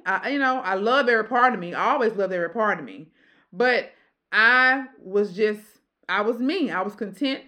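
A woman in her forties with a deep voice, hosting a casual podcast chat and preaching in an emphatic, repeating way. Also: sharp tone. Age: 40s. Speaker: podcast host.

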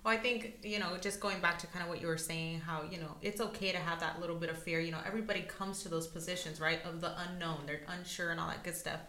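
A woman's voice: lowish voice, fairly even intonation, fast, hardly any pauses, bright, forceful tone, slight background noise. A woman hosting an interview, encouraging and affirming her guest. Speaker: podcast host. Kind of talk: casual interview. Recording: echoey room.

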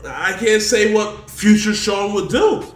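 A man's voice: high voice, unhurried. A man co-hosting a podcast in a casual chat, full of loose slangy banter that often tips into laughter. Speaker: podcast co-host. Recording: echoey room, mic at a distance.

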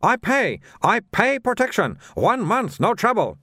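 High-pitched, wheedling little shopkeeper. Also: male voice, huge pitch swings, measured pace, sharp tone, dry recording, studio quality, exaggerated voice. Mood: indignant, insistent. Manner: clipped broken English, repeats phrases. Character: small thin bald shopkeeper, wheedling and wily. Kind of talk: audiobook character voice.

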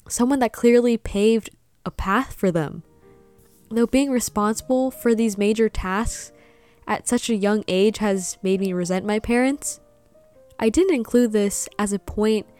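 Earnest young woman podcaster, higher voice. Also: no room sound. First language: Vietnamese. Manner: earnest, soft-spoken, breaks into giggles at the end. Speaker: podcast host. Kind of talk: personal reflective podcast monologue.

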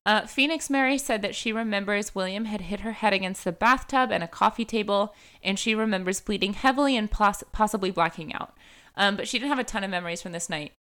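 A woman's voice: medium pitch, quick pace, hardly any pauses, fairly bright tone, clear, resonant tone. A young woman hosting a true-crime podcast, telling a case in a casual chatty way, full of "like" and "um" fillers. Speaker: podcast host.

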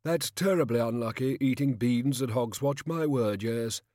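A man's voice: medium pitch, moderate pace, a little mellow, no room sound, clean studio sound, voice pushed up, lightly acted. A man voicing a hearty, overbearing storybook king with pompous, jovial upper-class bluster. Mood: hearty, bluff. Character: hearty, overbearing king, forcing his charity.

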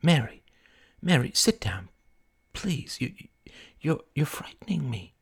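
A man voicing a gentle, anxious young woman in an audiobook, a concerned friend who speaks softly, hesitantly and apologetically. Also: high-pitched, sing-song, moderate pace, pitched above the performer's own voice. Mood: shocked, worried. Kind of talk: audiobook character acting.

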